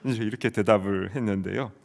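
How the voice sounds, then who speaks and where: A man in his forties from South Korea preaches in a church, telling a story with earnest conviction. His voice sits on the low side, and a long hall reverb trails every phrase. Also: fast talker, creaky. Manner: earnest storytelling, shouting out quoted soldier replies. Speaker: pastor. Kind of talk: sermon. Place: church sanctuary.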